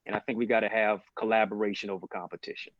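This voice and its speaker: A man from Jamaica talks in a deep, dry voice with a measured, preacherly rhythm. The sound is dull and lo-fi, like a video call. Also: flat delivery, no room sound. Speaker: panelist. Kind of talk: panel discussion on a video call.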